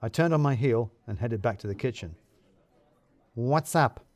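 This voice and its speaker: A man's voice: mid-range voice, animated, quick pace, a little mellow, dry recording. A man narrating a scripted comic story in the first person, with a wry, wordy, theatrical manner.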